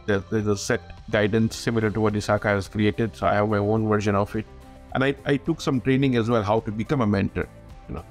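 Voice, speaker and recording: A man chats as an interview guest. He talks quickly in an easygoing way, his voice slightly low. Faint music sits in the background. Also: steady tone. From India.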